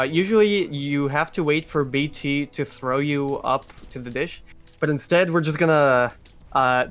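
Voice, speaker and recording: A man's voice: medium pitch, a bit bright. A man giving casual, joking live commentary on a speedrun at a gaming event. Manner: joking, playful banter.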